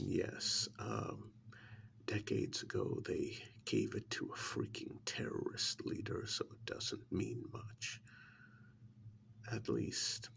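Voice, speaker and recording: A man playing a sly, menacing interrogator in a soft-spoken ASMR role-play, half whispering with insinuating, drawn-out pauses and mock admiration. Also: medium pitch, a little hiss or hum, full character voice. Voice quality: breathy whisper mixed with gravelly creak. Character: sly, menacing male interrogator taunting a mad scientist.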